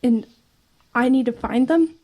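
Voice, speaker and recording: Earnest anxious teenage girl, higher-voiced. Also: unhurried, mellow, poor audio. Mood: pleading, worried. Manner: earnest, probing, hesitant. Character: earnest, persistent, anxious teenage girl narrating her ghost story. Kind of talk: audio drama acting and first-person narration.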